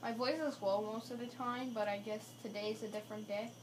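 A woman's voice: on the high side, steady tone, brisk, noisy background. A woman playing a video game and chatting to herself with joking asides. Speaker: gamer. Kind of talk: casual gameplay commentary. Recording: game music playing in the room. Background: game music.

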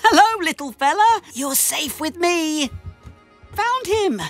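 A bubbly young male cartoon engine, helpful and playful. The high voice swings up and down with excitement at an unhurried pace, over clear background music. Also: full character voice. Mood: cheerful, eager. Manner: bubbly, exclamatory. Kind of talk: children's animated series dialogue.

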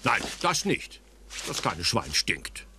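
A man voicing a small, good-natured bear in a children's audio play, speaking in a halting, deliberate way. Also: on the low side, wildly swooping pitch, gravelly, background noise, exaggerated voice. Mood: disapproving.

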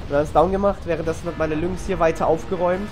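A man's voice: fairly high pitch, fairly even intonation, fast talker, over music. A man with a cold, casually narrating a replay of his strategy game match, rambling with many fillers and self-corrections. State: has a cold, coughs. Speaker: gamer commenting on a replay. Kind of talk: casual gameplay voice-over commentary. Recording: noisy home recording.

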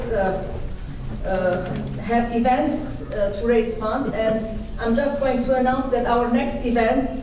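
A woman's voice: fairly high pitch, warm tone. A middle-aged woman, co-chair of a volunteer group, giving a polite formal announcement at a podium with many fillers, recorded in a large hall. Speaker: auxiliary co-chair. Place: banquet hall, indoors, large. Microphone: podium microphone heard from a far camera. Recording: echoey hall, far-off mic, room noise.

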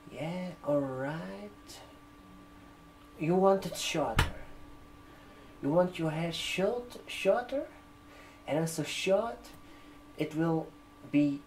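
Slow, soft-spoken young man doing ASMR. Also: high voice, a little hiss or hum, poor audio. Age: 20s. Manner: slow, halting, hushed delivery with short phrases. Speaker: ASMR performer playing a barber receptionist. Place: indoor room, seated at a desk. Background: computer keyboard typing and clicks.

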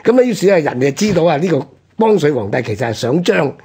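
A male radio co-host with a Hong Kong Cantonese accent and a husky voice, telling history in an animated, conversational way. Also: fairly high pitch, fast.

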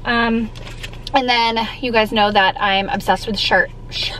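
A woman's voice: on the high side, steady tone, measured pace, fairly bright tone, a little hiss or hum, poor audio. A young woman chatting in an upbeat, casual way as a vlogger, filming herself at arm's length inside a parked car. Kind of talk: casual vlog chat. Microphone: camera held at arm's length.

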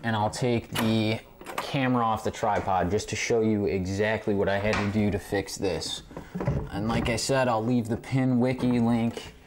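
A man giving a casual hands-on repair tutorial as host, recorded indoors on a camera mic a short distance away. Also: on the low side. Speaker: host of pinball repair videos. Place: indoor game room among arcade machines. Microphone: camera on tripod, a short distance away. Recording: camera mic with clear background noise, clicks.

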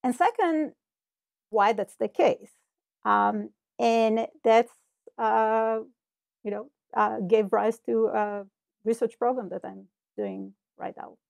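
A woman's voice: a little high, slow, gravelly, darkish tone, dry recording. A middle-aged woman, a Hebrew speaker, explaining her research thoughtfully over a video call with earbud headphones. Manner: thoughtful, explanatory, many fillers. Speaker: cognitive scientist guest. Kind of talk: interview conversation. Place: home office with bookshelves. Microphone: earbud headset over video call.

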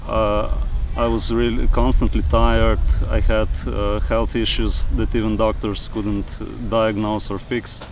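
Lowish-voiced Slovenian man in his thirties. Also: level tone, measured pace, hardly any pauses, distant mic, noisy background. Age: 30s. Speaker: festival attendee interviewee. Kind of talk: interview testimony. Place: outdoors on a grassy lawn.

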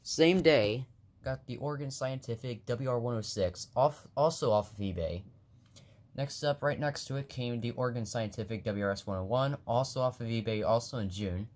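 A man's voice: medium pitch, normal speed, a little mellow. A young man narrating a casual show-and-tell of his collection indoors, offhand, with fillers and self-corrections, recorded on a handheld phone. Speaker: hobbyist vlogger. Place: indoors, room with carpeted floor.

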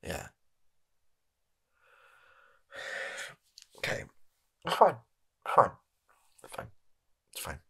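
A man's voice: fairly bright tone, close to an everyday voice. A British man playing a flustered, shifty energy company chief, bumbling and evasive, in an improvised comedy sketch. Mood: exasperated, sarcastic. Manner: bumbling, evasive, halting, put-upon. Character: flustered, shifty male energy company CEO recording an address.

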